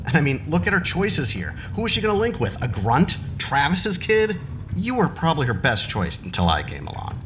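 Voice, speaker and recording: A man's voice: a little high, huge pitch swings, moderate pace, background noise. An actor playing a smug, scheming rival in an audio drama, glib and mocking as he talks. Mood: smug, goading.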